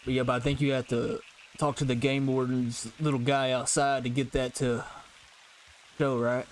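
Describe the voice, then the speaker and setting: A man's voice: mid-range voice, level tone, normal speed, slight background noise, lightly acted. A man playing a shifty, folksy frontier henchman in an improvised western roleplay, repeating his phrases. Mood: casual. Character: laconic, shifty frontier henchman who keeps secrets.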